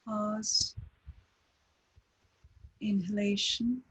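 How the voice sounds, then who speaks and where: A woman leading a guided breathing meditation, giving slow, calm, gentle instructions with long pauses. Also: mid-range voice, husky, rough recording. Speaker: meditation teacher.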